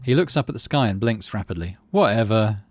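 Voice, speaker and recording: A man's voice: on the low side, lively intonation, quick pace, husky, no echo. A man hosting a gaming channel, narrating a visual novel playthrough aloud.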